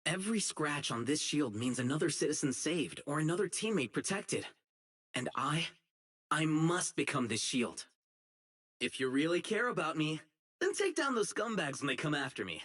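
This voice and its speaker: A man voicing an earnest, righteous young public security officer in a video game, dutiful and excitable, with dramatic delivery. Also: fairly high pitch, normal speed. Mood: solemn, earnest. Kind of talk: isolated game character voice lines, dramatic acting.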